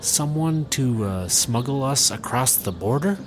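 A man acts as a blustering American ex-cop, always working some scheme. His delivery is unhurried and swings up and down in pitch. It is comedic audio drama, with faint ambience behind the voice. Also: animated, noisy background, natural voice. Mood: hesitant, persuasive.

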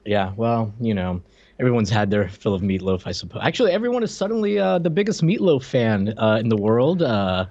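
A man's voice: lowish voice, sing-song, brisk, a little mellow, dry recording, dull audio. A man co-hosting a podcast in casual comedic chat, with a wry, joking way of talking. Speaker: podcast co-host.

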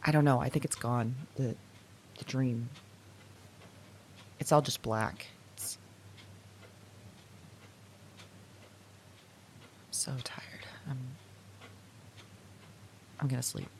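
An actress playing a sleepless, haunted woman who confides her dreams to an audio diary, halting and drowsy, sometimes slipping toward a whisper. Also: rumbling low, expressive, darkish tone, a little hiss or hum, exaggerated voice. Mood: exhausted. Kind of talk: intimate audio drama monologue as recorded diary.

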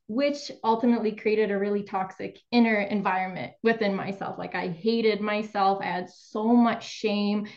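A woman's voice: mid-range voice, darkish tone, muffled recording. A woman from Ukraine, a somatic therapist and coach, telling her personal story openly in a remote interview. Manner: open, reflective, laughs lightly.

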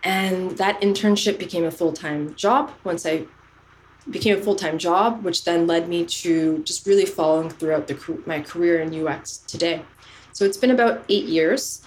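A woman's voice: on the low side, moderate pace, a little hiss or hum, low-quality recording. A woman in her thirties, raised in Canada, earnestly telling the story of her career as a podcast guest. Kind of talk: casual interview chat.

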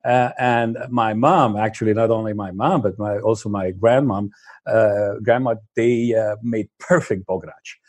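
A man from Slovenia, a podcast guest chatting casually, pausing often with fillers and restarts. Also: lowish voice, fairly even intonation, a little mellow, no room sound. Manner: hesitant, many fillers and restarts. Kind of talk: casual interview chat.

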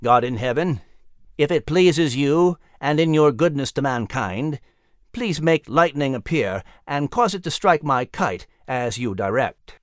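Man playing slow, earnest colonial inventor. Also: mid-range voice, lively intonation, no echo, studio quality, close to an everyday voice. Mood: prayerful. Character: earnest adult male colonial inventor, devout and excitable. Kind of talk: dramatic audiobook reading.

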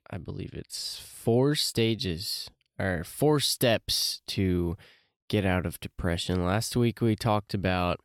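A man's voice: fairly low pitch, dry recording, clean studio sound. A man hosting a casual solo podcast, talking loosely with many fillers and restarts, his voice a bit congested from allergies. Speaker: podcast host.

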